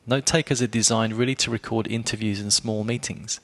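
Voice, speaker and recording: A man reading a scripted explainer review as a blogger, in a measured way, speaking directly to the listener. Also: lowish voice, steady tone. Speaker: blogger presenting a product review.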